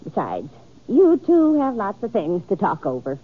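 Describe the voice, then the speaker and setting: An actress playing a folksy, matchmaking frontier aunt in a 1950s radio western, with a husky, drawn-out rustic delivery. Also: female voice, medium pitch, wildly swooping pitch, a little hiss or hum. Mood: homely, nudging. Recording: tinny 1950s radio recording.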